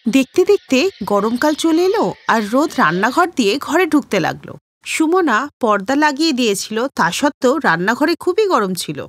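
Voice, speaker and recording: A woman tells a children's story from a script. Her voice is high and swings in melody, and the sound is dry with no room around it. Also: no room sound.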